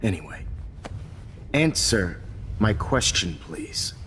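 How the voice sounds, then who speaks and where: A smug, coldly controlling kidnapper teacher from a video game. He drawls with wide swings from condescending chat to hushed menace. His low voice rings in a hall-like space over clear background noise. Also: sing-song, takes it slowly, heavily acted. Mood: mocking, calm. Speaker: villain. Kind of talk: cinematic video game acting.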